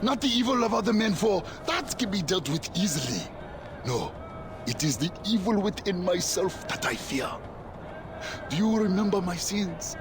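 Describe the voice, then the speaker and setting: An actor playing a fervent male ex-warlord turned preacher, booming and scripture-quoting, in a video game. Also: big pitch swings, moderate pace, noisy background. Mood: preaching.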